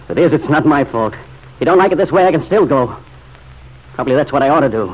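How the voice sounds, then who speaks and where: An actor playing a torn, stubborn farmer in a vintage radio drama, plain-spoken, hesitant and guarded. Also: male voice, a little high, animated, fast, breathy edge. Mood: defensive, irritated. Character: torn, stubborn farmer still pining for his wife. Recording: dull, noisy old radio recording.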